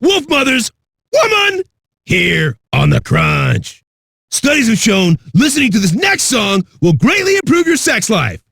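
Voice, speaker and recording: Raspy, amped-up metal radio DJ. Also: male voice, extremely high pitch, wildly swooping pitch, unhurried, fairly bright tone, dry recording, studio quality, full character voice. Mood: rowdy excitement. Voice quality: raspy, gravelly. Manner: aggressive, rowdy, swaggering delivery. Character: brash, hyped-up, foul-mouthed male metal radio DJ. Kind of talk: high-energy rock radio DJ patter.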